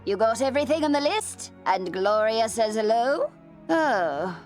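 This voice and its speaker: An actress playing a brisk, gossipy, wry outcast alchemy professor in a video game. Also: female voice, fairly high pitch, animated, soft background music. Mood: brisk, bossy. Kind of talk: video game character dialogue.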